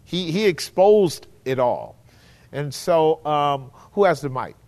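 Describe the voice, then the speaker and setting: A man's voice: fairly high pitch, lively intonation, takes it slowly, a little mellow. A man leading a church Bible study, teaching in an earnest, emphatic preacherly way.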